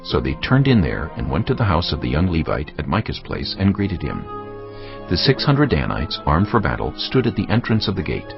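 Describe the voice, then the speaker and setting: A man's voice: rumbling low, sing-song, normal speed, gravelly, muffled recording. A man narrating scripture as a dramatised audiobook in a solemn storytelling manner. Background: music.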